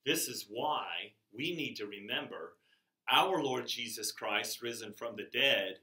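An older man giving a chapel sermon to children in a classroom, speaking slowly and emphatically, his voice rising on key words. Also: medium pitch, animated, pushed and bright. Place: classroom with a whiteboard, indoors. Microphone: camera across the room. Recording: echoey classroom from far off.

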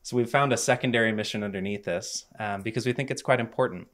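A man in his thirties presenting his charity's work to a home audience, earnest and measured, with frequent ums. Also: on the low side, a bit bright. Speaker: nonprofit speaker. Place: living room with stone fireplace, indoors. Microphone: handheld mic.

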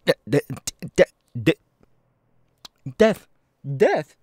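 A man voicing a weary, homeless young ex-convict with tics, halting and stammering in an ASMR audio roleplay. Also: high voice, wildly swooping pitch, darkish tone, slightly rough, dry recording, heavily acted. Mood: agitated tics. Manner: halting, stammering, with abrupt repeated-word tics. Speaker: voice actor. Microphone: close microphone, dry.

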